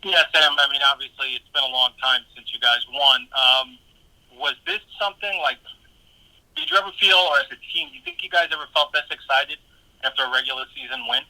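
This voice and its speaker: A man asking a halting, rephrased question as a reporter on a telephone conference call. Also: mid-range voice, sharp tone, poor audio.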